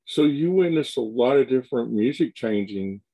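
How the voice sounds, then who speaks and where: A man's voice: mid-range voice, expressive, moderate pace, warm tone, rough recording. A middle-aged man hosting an interview over a video call from a home room, talking loosely with many restarts. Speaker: show host. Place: home room with shelves of collectibles.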